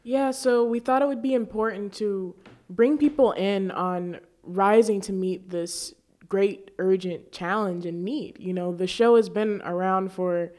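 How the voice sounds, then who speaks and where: A woman's voice: medium pitch, fairly even intonation, measured pace, darkish tone. A woman co-hosting a show, speaking in a conversational way. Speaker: show host and producer. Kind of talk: conversational talk show discussion.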